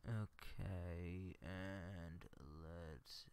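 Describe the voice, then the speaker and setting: A man's voice: deep voice, fairly even intonation, very slow, a little mellow, clean tone. A man talking casually on a livestream, muttering his thoughts aloud as he works. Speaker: livestreamer editing fan video. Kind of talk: casual livestream talk while working.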